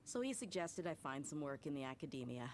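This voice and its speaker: An actress playing a tough, proud young desert mercenary in a video game, speaking in a breezy, self-assured way. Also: female voice, low voice, very animated, brisk, a little hiss or hum. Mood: casual, proud.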